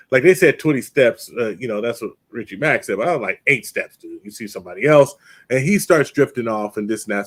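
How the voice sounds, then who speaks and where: A man hosting a livestream in a loose, casual way, telling stories with plenty of fillers and restarts. He slips into quick put-on voices for the people in his anecdotes. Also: medium pitch, slightly rough. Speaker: livestream host. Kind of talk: casual storytelling chat.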